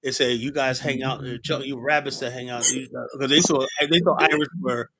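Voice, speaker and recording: A middle-aged man from Liberia holds forth on his livestream, rambling from point to point. He leans on fillers and keeps checking in with "you know?" and "right?". His delivery is animated. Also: mid-range voice, a little fast, crowd noise. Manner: rambling, animated, many fillers and rhetorical tags. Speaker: livestream host. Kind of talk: casual livestream discussion.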